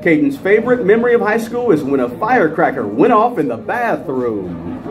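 A middle-aged American man doing live high school stadium PA announcing on a handheld microphone, with a ceremonial, drawn-out delivery of names. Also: sing-song, low-quality recording. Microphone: handheld dynamic microphone. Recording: crowd noise behind outdoor announcing. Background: crowd.

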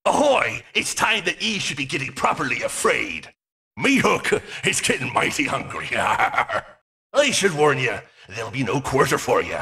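A gleefully menacing pirate fox animatronic for a horror game. The voice is harsh, very raspy and gravelly, leaping around in pitch. He has a theatrical pirate accent and plenty of swagger. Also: very animated, measured pace, sharp tone, exaggerated voice. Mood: gleeful menace. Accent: stage pirate. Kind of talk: video game character voice lines.

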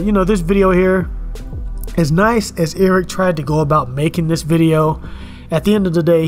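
A man in his thirties rants into a camera at home in a loose, repetitive way, circling back to the same phrases. His voice sits high for a man, and faint music plays quietly underneath. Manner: ranting, repeats words and "you know what I'm saying". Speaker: fitness vlogger. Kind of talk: casual commentary rant. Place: indoors at home, in front of a camera.

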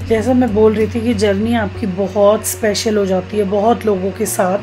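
A woman's voice: mid-range voice, level tone, moderate pace, dark tone, soft background music, rough recording. A woman in her thirties from Uttarakhand, India, narrating a casual vlog in a chatty way, talking directly to viewers. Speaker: travel vlogger.

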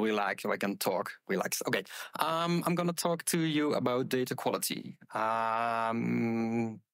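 A man's voice: mid-range voice, vocal fry, dry recording. Hesitant man giving a conference talk. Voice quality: loud voice. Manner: hesitant, many ums and uhs. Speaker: conference speaker. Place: conference room with audience. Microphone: headset mic.

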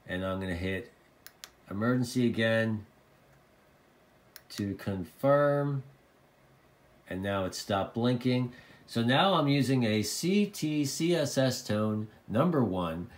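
A man's voice: medium pitch, animated, slow, long pauses. A man informally explaining and demonstrating a product, repeating phrases for emphasis. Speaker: presenter of a radio tutorial. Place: indoors at a desk.

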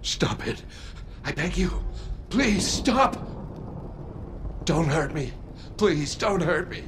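Terrified patient, wildly swinging pitch. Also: male voice, lively intonation, slow pace, background noise. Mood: frightened begging. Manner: panicked, begging, crying out. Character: terrified, paranoid male patient cowering from unseen spirits. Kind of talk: dramatic video game acting. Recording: loud music over the voice.

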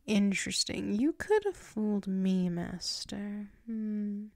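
A woman playing a flustered, submissive tsundere werewolf in an intimate ASMR roleplay, halting and murmuring, often slipping into whispers close to the mic. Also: medium pitch, animated, darkish tone, no room sound. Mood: musing, teasing. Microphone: close microphone.

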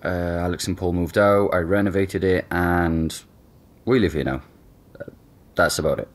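A man's voice: sits low, normal speed, close to an everyday voice. An actor playing an easygoing young man who jokes and hesitates as he talks. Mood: casual. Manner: relaxed, joking, hesitant with fillers. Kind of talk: audio drama acting.

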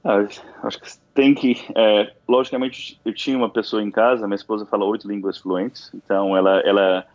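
A man with a Brazilian Portuguese accent, speaking as a guest in a relaxed interview. His voice sits somewhat low, and he rambles with many restarts and fillers. The recording is dry and a little dull, like a lo-fi line. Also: a little fast, hardly any pauses, no room sound. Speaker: interview guest, university alumnus. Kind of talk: casual interview chat.

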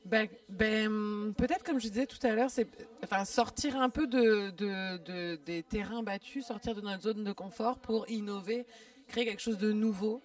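A woman's voice: medium pitch, normal speed, distant mic. A woman with a mid-range voice searches for her words, stumbling over repeats. She sits a little back from the handheld mic. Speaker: vox pop participant. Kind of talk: casual street interview.

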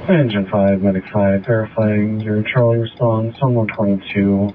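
A man dispatches emergency units in a low voice over two-way radio. The sound is tinny and narrow like a scanner, with clear noise behind his terse phrases. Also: steady tone, normal speed.

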